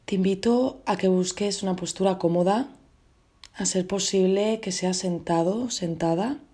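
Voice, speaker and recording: Woman leading a guided meditation, very soft mellow tone, gentle short phrases w pauses. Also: medium pitch.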